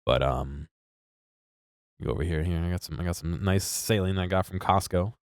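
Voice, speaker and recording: Congested streamer, deep voice, rambling chat, dry close mic. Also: male voice, normal speed, dry recording. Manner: rambling, self-interrupting, laughs at himself. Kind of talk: casual live-stream chat.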